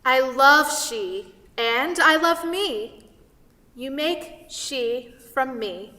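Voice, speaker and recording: A woman playing a bright, very slow tree spirit. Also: high-pitched, lively intonation, heavily acted. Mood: adoring, emphatic. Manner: slow, simple broken grammar, emphatic. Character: tender, insistent female tree spirit in broken speech. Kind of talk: staged play reading. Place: theatre stage. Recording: reverberant theatre hall.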